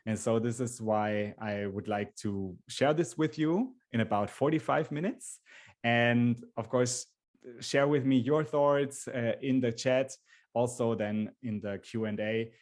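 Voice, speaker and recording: A man's voice: mid-range voice, measured pace. A German-accented man in his forties presents a live webinar through a computer microphone. His voice sits in an ordinary middle register, and he talks earnestly, often stopping to restart a phrase. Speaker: webinar host.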